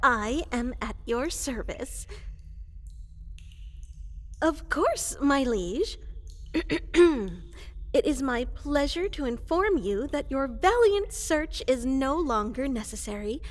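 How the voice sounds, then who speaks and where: A woman's voice: sits high, expressive, slow pace, pauses often. A woman voicing a small, fluffy, eager monster girl in a fantasy comedy roleplay, stammering, apologetic and overly formal. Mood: eager, grand. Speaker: applicant for evil advisor post. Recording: reverberant large hall.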